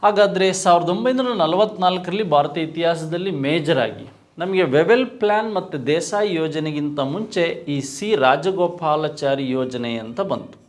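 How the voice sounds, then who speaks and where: Brisk man teaching history. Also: a little high. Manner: explanatory, repeats key terms, rhetorical questions. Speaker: exam coaching teacher. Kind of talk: exam-prep history lecture.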